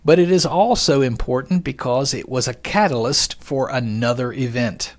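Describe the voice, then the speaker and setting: A man's voice: medium pitch, moderate pace. A man hosting a podcast, reading scripted narration in a folksy, conversational way with joking asides and rhetorical questions. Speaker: podcast host.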